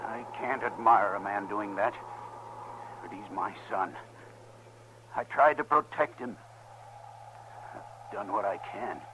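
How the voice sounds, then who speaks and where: A man's voice: lowish voice, slow pace, long pauses. An actor playing a distraught older colonel and father in a 1950s radio western, solemn and anguished. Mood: sorrowful. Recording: noisy, muffled 1950s radio recording.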